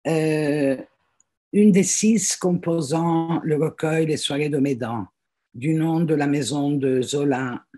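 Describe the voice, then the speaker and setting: An older woman in her seventies speaks from home over a laptop webcam, her voice husky and a little breathy. She talks in a hesitant way, pausing on fillers and restarting phrases as she goes. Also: very deep voice, dark tone. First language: French. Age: 70s. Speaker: teacher and guest reader. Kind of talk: introducing herself on a video call. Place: small room at home, indoors. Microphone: laptop webcam on a video call.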